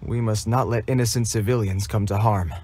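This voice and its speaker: A dutiful, formal guard. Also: male voice, fairly low pitch, fairly even intonation, a little fast, a little mellow, breathy edge. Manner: formal, dutiful, flustered around children. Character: earnest, formal young male guard soldier. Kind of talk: game cutscene voice acting. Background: game music.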